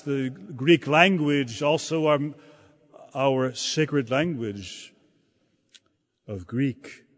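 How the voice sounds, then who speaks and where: Slow-preaching man in a reverberant hall. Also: mid-range voice. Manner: slow, halting delivery with frequent repeated words. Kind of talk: sermon.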